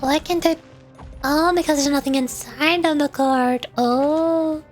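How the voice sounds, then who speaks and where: High female voice, unhurried pace, playful game streamer, casual livestream chat, music behind. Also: fairly even intonation. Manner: playful, says cute sound-effect words aloud.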